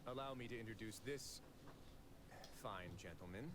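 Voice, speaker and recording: A man as a lowish, suave official. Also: normal speed, background noise, close to an everyday voice. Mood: smooth, mocking. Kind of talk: video game voice acting.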